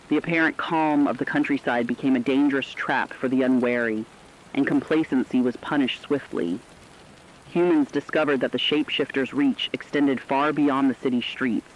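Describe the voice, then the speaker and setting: Man, medium pitch, serious measured narration, dramatic documentary script, dull lo-fi recording, rain behind. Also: a little hiss or hum.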